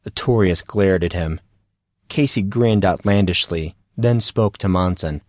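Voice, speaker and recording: A man's voice: deep voice, measured pace, a little mellow, no room sound, clean studio sound. A man narrating a scripted story as the narrator of an audio drama.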